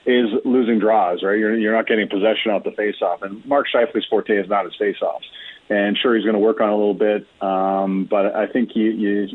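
A man with a husky voice giving conversational sports analysis as a guest, wordy and often restarting his sentences. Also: medium pitch, no room sound. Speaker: sports reporter, show guest. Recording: tinny, narrow phone-like line.

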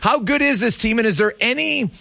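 A man's voice: extremely high pitch, fairly quick, gravelly, bright, forceful tone, dry recording. A man hosting a sports talk show, chatting casually and asking questions in an informal, buddy-like way. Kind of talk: casual sports chat and interviewing.

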